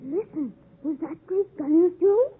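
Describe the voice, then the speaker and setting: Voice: very high-pitched, lively intonation, takes it slowly, full character voice. A young performer playing a timid, polite small orphan boy, meek and curious, in 1950s radio drama style. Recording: tinny, noisy 1950s radio broadcast.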